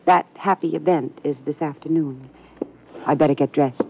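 Dry, sardonic niece, very low voice, old radio play. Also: female voice, steady tone, normal speed, noisy background. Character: sardonic, cool young woman, a niece. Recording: muffled old radio recording.

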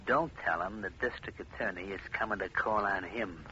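An actor playing a brutal, sardonic, slangy gangster boss in a 1950s radio drama. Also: male voice, lowish voice, normal speed, heavy background noise, close to an everyday voice. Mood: suspicious, sly. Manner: tough, slangy. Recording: muffled old radio recording.

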